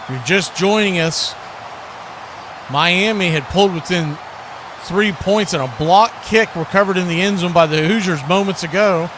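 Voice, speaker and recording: An American man giving live football play-by-play in short clipped phrases that build with excitement. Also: high voice, moderate pace, background noise. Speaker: play-by-play announcer.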